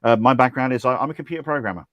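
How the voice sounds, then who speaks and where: A man's voice: medium pitch, racing, fairly bright tone, dry recording, lo-fi sound. A middle-aged man co-hosting a whisky tasting with dry, wry humour, speaking over a video call. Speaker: co-host, drinks ambassador. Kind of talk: casual livestream chat.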